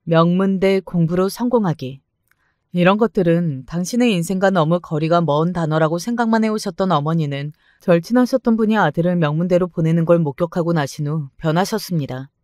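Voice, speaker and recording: A man narrating a first-person family story in a calm, even scripted manner. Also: high voice, fairly quick, no echo, clean studio sound.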